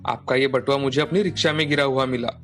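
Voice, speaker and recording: A man's voice: medium pitch, steady tone, fast talker, fairly bright tone, faint music behind, lightly acted. A man playing an honest, humble young rickshaw driver in a storybook moral tale, speaking politely and earnestly. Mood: gentle, honest. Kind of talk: dramatized Hindi moral story.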